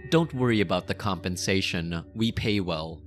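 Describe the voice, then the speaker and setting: A man's voice: sits low, faint music behind, natural voice. A man playing a possessive, menacing yet playful mafia boss in an ASMR roleplay, with a teasing, theatrical delivery. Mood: commanding, menacing. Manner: slow teasing, sinister chuckles, theatrical.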